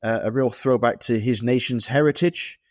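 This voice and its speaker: A man's voice: medium pitch, moderate pace, a little mellow, no echo, studio quality. A man co-hosting a casual podcast chat, explaining things fluently and with enthusiasm. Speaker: podcast co-host.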